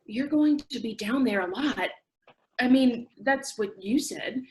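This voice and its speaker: An actress playing an earnest, defensive zoo worker in a staged play reading, explaining herself carefully. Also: female voice, fairly high pitch, unhurried, natural voice. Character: earnest, defensive woman zoo employee backing her partner. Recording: echoey room.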